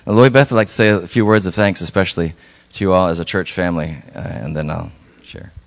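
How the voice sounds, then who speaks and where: A middle-aged man from Lebanon, a missionary, speaking at a church in a halting, folksy way with many fillers. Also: low-pitched, steady tone. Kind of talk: church talk with slides. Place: church sanctuary.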